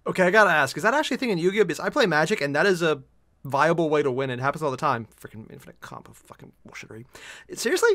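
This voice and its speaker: Expressive young man reacting, swinging pitch. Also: rapid-fire. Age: young adult. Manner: excitable, exclamatory, profane. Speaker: reaction video host. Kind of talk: casual reaction commentary. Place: small furnished room at home, indoors.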